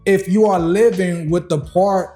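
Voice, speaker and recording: Mellow-voiced man hosting a podcast. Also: high voice, fairly even intonation, measured pace, soft background music. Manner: loose, rambling questions with many fillers. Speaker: podcast host. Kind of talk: casual podcast interview.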